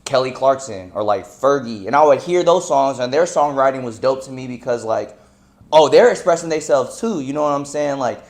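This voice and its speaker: A man's voice: medium pitch, moderate pace, darkish tone. Slangy young man in casual chat. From United States (North Carolina). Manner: loose slangy talk with frequent fillers. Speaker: guest musician.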